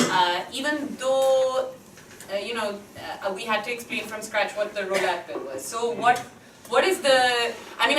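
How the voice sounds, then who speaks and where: A woman speaking as a panelist in an academic discussion, thoughtful and questioning. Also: medium pitch. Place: university seminar room. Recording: echoey room, distant mic, background hiss.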